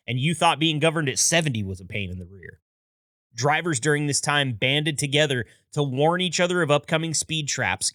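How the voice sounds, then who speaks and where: A man's voice: on the high side, bright, forceful tone, no room sound, clean studio sound. A man hosting a podcast, reading a scripted history narration in an upbeat storytelling manner with wry asides. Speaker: podcast host.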